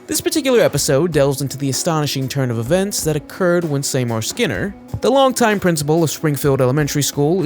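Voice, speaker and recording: A man reads scripted video narration in a clearly enunciated, storyteller-like way. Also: a little high, darkish tone. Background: background music.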